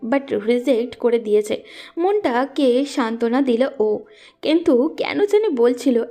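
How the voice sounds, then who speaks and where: A woman's voice: on the high side, dark tone. A woman reading scripted narration for a romantic story as its narrator.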